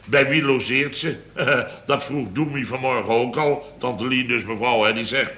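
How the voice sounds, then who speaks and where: Sharp, blustering, muddled company boss. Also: male voice, medium pitch, a little slow. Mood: grumbling. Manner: rambling, repetitive, tags phrases with "hè". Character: blustering, muddled male boss of a building firm. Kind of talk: 1970s Dutch radio comedy acting.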